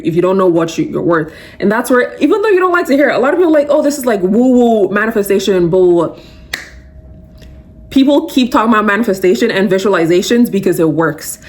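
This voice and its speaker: A young adult woman hosting a podcast, giving a casual, emphatic motivational talk full of fillers. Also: medium pitch. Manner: animated, emphatic, conversational with many fillers. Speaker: podcast host.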